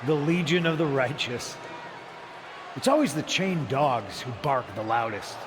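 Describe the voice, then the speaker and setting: A scornful villain who leads a rebel wrestling faction. His voice sits at a middling pitch and he taunts his rivals with cold condescension. Loud noise runs under the game cutscene. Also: sing-song, unhurried, a crowd in the background. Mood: taunting. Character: smug, scornful male wrestling villain leader. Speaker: faction leader. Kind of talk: video game cutscene acting.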